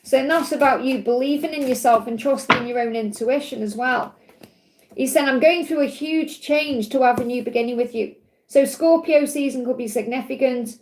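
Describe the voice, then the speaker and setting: Woman, Stoke-on-Trent accent, high-pitched, reassuring livestream tarot reader, frequent "okay?". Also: moderate pace, darkish tone, low-quality recording. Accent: Stoke-on-Trent English. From England (Stoke-on-Trent). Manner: soothing, reassuring, with frequent "okay?" check-ins. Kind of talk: live card reading answering viewer questions.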